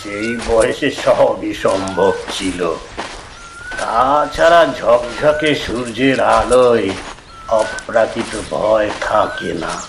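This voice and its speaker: A man narrating an eerie first-person tale in a deliberate, suspenseful, dramatic way. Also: lowish voice, lively intonation, creaky, noisy background. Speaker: story narrator. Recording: roomy echo under background music.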